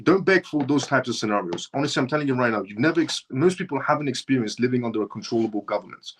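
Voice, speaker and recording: A man's voice: mid-range voice, lively intonation, very fast, a little hiss or hum. A man from Iran hosting a livestream, giving an impassioned opinion monologue that hammers points through repetition and restarts mid-phrase. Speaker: livestream host.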